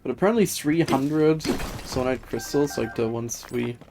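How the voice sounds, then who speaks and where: A woman's voice: very deep voice, measured pace, background noise. A woman game streamer chatting casually and thinking aloud in a rambling, hesitant way. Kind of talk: casual livestream chat. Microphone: streaming setup with webcam. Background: music.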